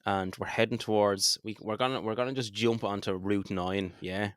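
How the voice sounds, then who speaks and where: Low-voiced Irish man chatting casually. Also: steady tone, normal speed, no room sound, clean studio sound. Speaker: podcast co-host. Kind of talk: casual chat, narrating a game playthrough.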